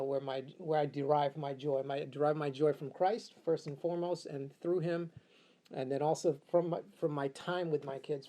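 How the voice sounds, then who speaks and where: Middle aged guy from uganda, soft mellow voice a bit on the higher side, chatting thoughtfully as a guest. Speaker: interview guest. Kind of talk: casual personal conversation.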